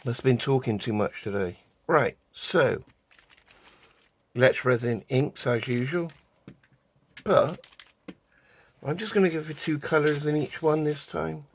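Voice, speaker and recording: A man from the UK with a croaky voice, chatting casually as he explains a resin craft project step by step. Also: a little high, a little hiss or hum. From United Kingdom. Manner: unhurried, chatty, talks while doing hands-on craft work. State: croaky voice, clearing throat. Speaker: resin art vlogger. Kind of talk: casual how-to narration while working.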